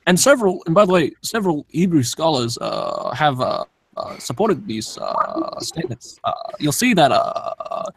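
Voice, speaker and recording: A man mimicking a pompous British scholar in a put-on British accent, halting and full of drawn-out ums. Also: a little high, wildly swooping pitch, creaky, breathy edge, voice pushed up, heavily acted. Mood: mock-scholarly.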